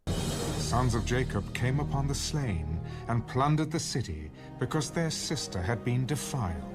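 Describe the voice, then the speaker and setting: A man's voice: medium pitch, sing-song, moderate pace, music in the background. A man narrating scripture as a dramatic, solemn storyteller in an audio Bible.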